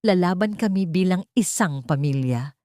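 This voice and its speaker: A young mother speaks in a quiet, steely hush, as a woman narrator reads her. The voice is low and mellow, recorded close with no room sound. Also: animated, moderate pace, dry recording, natural voice. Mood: cold resolve. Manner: hushed, steely. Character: young mother, weak but fiercely protective.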